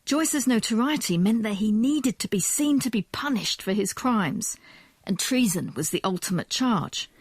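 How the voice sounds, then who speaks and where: A woman presents a documentary in a measured, questioning and explanatory way. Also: on the high side, husky, dry recording. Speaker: presenter, history professor. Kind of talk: documentary narration and interview.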